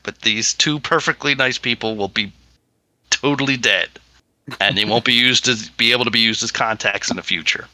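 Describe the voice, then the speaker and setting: Guy running a tabletop game, super bright voice, dry close mic, lots of ums. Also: mid-range voice, moderate pace, no echo, low-quality recording. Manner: laid-back, hesitant, with many ums. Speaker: game master.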